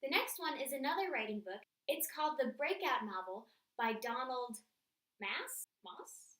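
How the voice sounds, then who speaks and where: A woman's voice: sits high, lively intonation, moderate pace, sharp tone. A woman book vlogger talking chattily and directly to her viewers as she works through a list of questions. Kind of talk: scripted-sounding book tag chat to camera. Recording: roomy echo, distant microphone.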